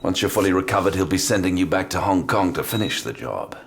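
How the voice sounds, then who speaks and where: An actor playing a tough, wry demon-hunting detective in an audio drama, with a dry, gruff way of talking. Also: male voice, low voice, lively intonation, fast, a little hiss or hum. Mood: wry, relieved. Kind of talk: full-cast audio drama acting. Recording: music behind the voice.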